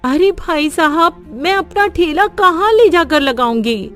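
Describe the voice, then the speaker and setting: Woman voicing a poor widow mom in a cartoon, begging, high voice, soft music. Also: lively intonation, unhurried, full character voice. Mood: pleading. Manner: pleading, plaintive. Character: poor widowed young mother selling street food.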